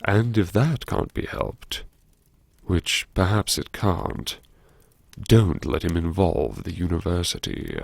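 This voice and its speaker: A man performing a first-person audiobook monologue as a brooding, wry character who anxiously lectures himself in a theatrical, musing way with dramatic pauses. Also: deep voice, very animated, vocal fry, no echo, heavily acted. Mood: bitter self-reassurance. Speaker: audiobook narrator.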